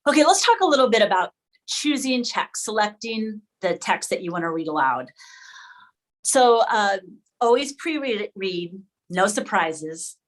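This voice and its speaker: A woman's voice: mid-range voice, sing-song, a little slow, low-quality recording. Conversational woman moderating an online session. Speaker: host of an online session for educators. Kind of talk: presenting and moderating a video meeting discussion.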